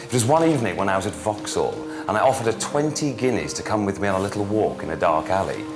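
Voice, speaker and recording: A man acting an aristocratic rake in a period drama, his fairly low voice moving animatedly up and down. He is arch, roguish and indiscreet, with music clearly behind him. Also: expressive, fast, natural voice. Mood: arch, amused.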